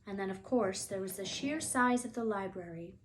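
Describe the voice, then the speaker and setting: A woman's voice: mid-range voice, moderate pace, poor audio. Woman storyteller, faint background noise. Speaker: read-aloud storyteller. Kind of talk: expressive children's book narration.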